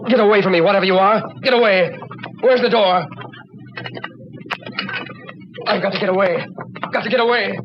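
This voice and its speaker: An actor playing a skeptical, formal doctor unnerved by spirits, in old-time radio horror style. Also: male voice, high voice, fast, lightly acted. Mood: panicked. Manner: formal, indignant. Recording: muffled, noisy old radio recording.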